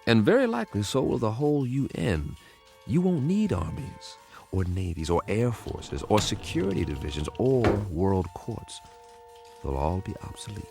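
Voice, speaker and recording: A man's voice: lowish voice, big pitch swings, normal speed, gravelly, warm tone. A man playing a wry, optimistic government codebreaker who also narrates a radio drama, with a folksy, persuasive manner. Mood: earnest, confident. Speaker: lead actor and first-person narrator. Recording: music underneath.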